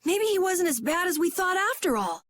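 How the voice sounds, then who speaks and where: High-pitched, excitable nervous boy. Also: normal speed, no room sound, studio quality. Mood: anxious, earnest. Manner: boyish, hesitant, excitable. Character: eager, nervous, earnest young boy adventurer. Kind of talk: video game cutscene acting.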